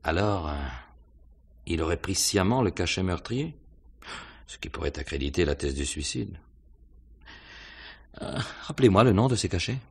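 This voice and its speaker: A man's voice: low-pitched, expressive, moderate pace, vocal fry, long pauses, slightly rough, heavily acted. An actor playing a courteous, probing police inspector in a 1950s-style French radio mystery, hesitant and musing as he questions.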